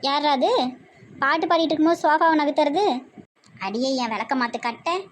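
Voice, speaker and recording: A woman's voice: high voice, brisk, slight background noise, close to an everyday voice. A woman playing a bossy, sarcastic older mother-in-law who nags and scolds with a southern Tamil Nadu accent in a comedy skit. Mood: nagging, indignant. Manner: nagging, sarcastic.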